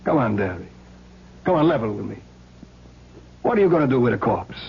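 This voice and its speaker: Grudging streetwise mortician, muffled sound. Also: male voice, mid-range voice, big pitch swings, fairly quick, vocal fry. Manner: colloquial, grudging. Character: wary streetwise mortician, a reformed crook. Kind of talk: 1970s radio drama acting. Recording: dull, humming, noisy old radio recording.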